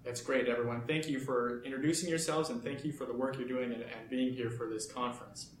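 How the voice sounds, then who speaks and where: A man's voice: mid-range voice, steady tone, brisk, reverberant, slight background noise, low-quality recording. A man hosting a podcast, welcoming listeners and introducing student guests in an upbeat way. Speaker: podcast host. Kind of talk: scripted podcast intro and interviewing.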